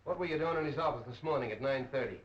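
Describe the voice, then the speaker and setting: An actor playing a brusque, impatient, sarcastic police detective in a 1930s film. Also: male voice, mid-range voice, racing, natural voice. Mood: accusing. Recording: dull 1930s film soundtrack.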